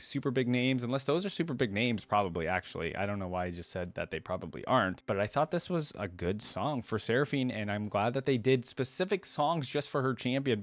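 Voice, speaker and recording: A man's voice: medium pitch, a little fast, no echo. A man giving casual reaction commentary, talking informally and hedging as he thinks aloud. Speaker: video creator reacting to music.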